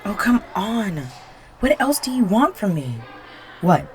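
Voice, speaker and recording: A young woman voicing a bratty, bossy popular high school girl in an intimate audio roleplay, whiny and exasperated, with desperation underneath. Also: big pitch swings, slow, a little hiss or hum. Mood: exasperated, impatient. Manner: whiny, sighing, pushy.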